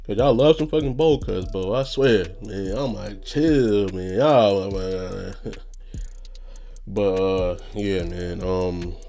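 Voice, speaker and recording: A man's voice: low-pitched, slow, warm tone, faint music behind. A young man talking in a loose, rambling, profane monologue, a little nasal, laughing often. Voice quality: slightly nasal. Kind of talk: casual monologue.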